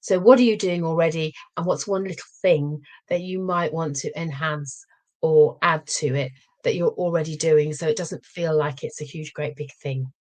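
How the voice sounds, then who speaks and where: Low-pitched woman, slightly croaky, thoughtful podcast co-host. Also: moderate pace, a little mellow. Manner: thoughtful, with many ums and restarts. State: slightly croaky after a cold. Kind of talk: casual chat.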